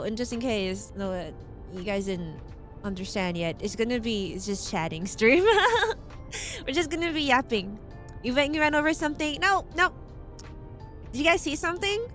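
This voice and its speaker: A hoarse woman chats on a relaxed stream, giggling and rambling between thoughts. Her voice sits a little high, and she talks slowly and unhurriedly. Soft music plays quietly behind her. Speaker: VTuber streamer. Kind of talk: casual chatting stream.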